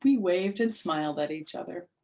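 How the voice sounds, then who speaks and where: A woman reading a children's book aloud as storyteller, animated, with asides to her listeners. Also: lowish voice, moderate pace.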